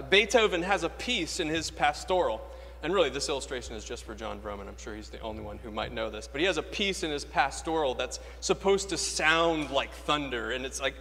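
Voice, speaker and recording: Echoing church, American man, fairly high voice, priest giving a sermon, emphatic, repeats key phrases, rhetorical questions. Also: fairly bright tone. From Florida, United States. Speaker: Anglican priest preaching. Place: large church interior, speaking from a lectern. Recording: echoey church with long reverb.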